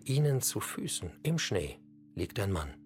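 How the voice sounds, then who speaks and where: A man narrating a scripted radio documentary drama in a husky voice. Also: lowish voice, sing-song, a little slow, darkish tone, a little hiss or hum. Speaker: narrator and commentator.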